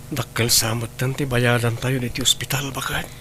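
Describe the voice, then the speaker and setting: Bright, forceful man acting a husband. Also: medium pitch, fairly even intonation, moderate pace, close to an everyday voice. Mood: weary, worried. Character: husband in a troubled household drama. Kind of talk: Ilocano audio drama acting.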